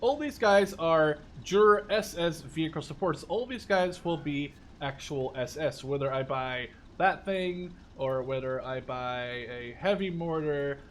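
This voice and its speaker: A man's voice: fairly high pitch, a little slow, few pauses. A man in his twenties from the Netherlands giving a casual, rambling, enthusiastic game overview with informal language. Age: 20s. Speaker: gaming video creator. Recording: clear background noise.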